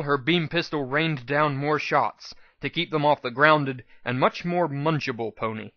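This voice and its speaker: A man giving an expressive, emphatic first-person dramatic reading of a story. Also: mid-range voice, no echo, lo-fi sound. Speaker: amateur audiobook reader.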